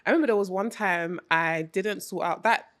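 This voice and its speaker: A woman's voice: medium pitch, a little fast, fairly bright tone. Brief: young London woman co-host, emphatic, repeats for stress, Nigerian slang here and there. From United Kingdom. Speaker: podcast co-host. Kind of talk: casual podcast chat.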